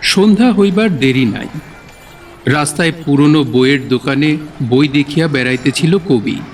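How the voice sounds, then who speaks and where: A man's voice: a little high, normal speed. Guy narrating a story formally, bit far from mic, faint music.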